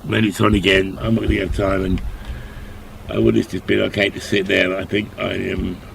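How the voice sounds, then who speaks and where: Older man with a gravelly low voice. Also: level tone, normal speed, fairly bright tone, slight background noise, poor audio. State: coming down with a cold. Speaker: roleplay streamer. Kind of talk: casual in-game chat.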